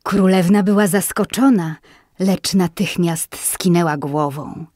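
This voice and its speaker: A woman narrating a fairy tale dramatically as a storyteller, in a husky voice. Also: on the low side, measured pace, darkish tone, dry recording, studio quality.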